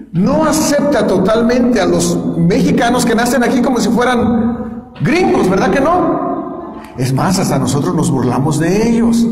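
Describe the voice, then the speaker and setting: High-voiced preacher in an echoey hall. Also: male voice, a little mellow. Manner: asks the congregation to repeat and answer. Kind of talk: sermon. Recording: echoey hall with long reverb.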